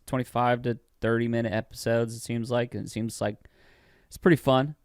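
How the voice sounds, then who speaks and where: A man co-hosting a podcast in a relaxed, chatty way, teasing his friend. His voice is smooth and clear at a middling pitch. The sound is dry and close. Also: fairly quick, dry recording. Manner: playful, teasing. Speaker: podcast co-host. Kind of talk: casual chat.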